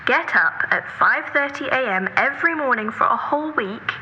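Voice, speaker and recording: A woman's voice: high-pitched, lively intonation, moderate pace, bright, forceful tone, muffled recording, faint music behind, full character voice. A woman acting an earnest, orderly, nagging sister in a naturalistic audio drama, exasperated but caring and persistent in her lecturing. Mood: pleading.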